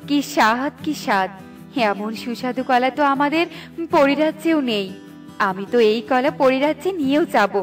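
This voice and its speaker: A gracious fairy performed by a woman speaks in a high voice with generous, appreciative warmth of manner. It is cartoon fairy-tale dialogue with music underneath. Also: lively intonation, normal speed. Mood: delighted.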